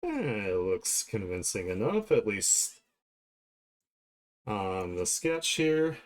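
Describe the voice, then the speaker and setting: A man's voice: on the low side, big pitch swings, slow. A man chatting casually on a livestream as he draws, thinking aloud in a playful, self-deprecating way. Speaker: streamer and illustrator. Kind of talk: casual livestream chat while drawing.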